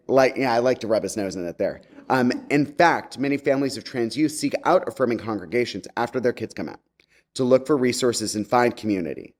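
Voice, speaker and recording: A man's voice: mid-range voice, a little mellow. A man giving a conversational message to a congregation as a guest speaker, chatty and wry, with campy slang.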